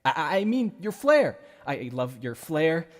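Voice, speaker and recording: A man voicing a shy, obsessive male supervillain in an ASMR roleplay, soft-spoken and stammering over his slips of the tongue. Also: a little high, big pitch swings, takes it slowly. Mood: flustered.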